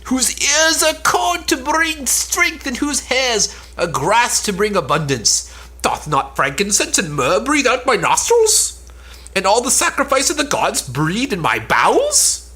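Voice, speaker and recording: Girl acting as a jilted proud goddess, old stage english, bright sharp voice, swoops around a lot. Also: a little high, big pitch swings, unhurried, breathy edge, heavily acted. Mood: indignant, boastful. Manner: declamatory, archaic phrasing. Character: spurned, vengeful earth goddess, proud and scornful. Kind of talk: amateur cold read of Elizabethan play.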